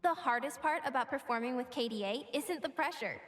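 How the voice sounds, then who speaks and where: A woman's voice: sits high, expressive, a little fast, fairly bright tone. A woman voicing a sweet, bubbly young female pop star in a video game, chatty and earnest. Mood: sheepish, playful. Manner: chatty, earnest, self-deprecating. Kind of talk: game character voice lines. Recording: long reverb with faint music.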